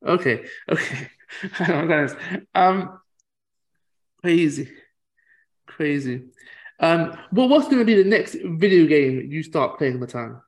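Slightly high-voiced male podcast host. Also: expressive, slow, pauses often. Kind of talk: casual interview chat.

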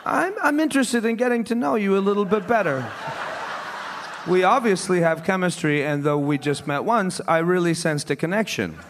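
Man playing an earnest lovelorn dog, slightly high voice. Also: animated, moderate pace, noisy background. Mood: earnest, hopeful. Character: lovelorn eager male dog reading his personal ad. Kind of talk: comic staged reading of a personal ad.

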